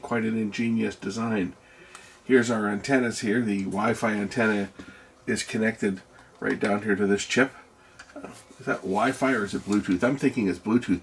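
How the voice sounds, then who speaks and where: A man with a lowish, gravelly voice talks casually through a piece of electronics, as if hosting a hands-on video. He muses aloud and puts questions to himself. There is faint noise behind him. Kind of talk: casual teardown commentary.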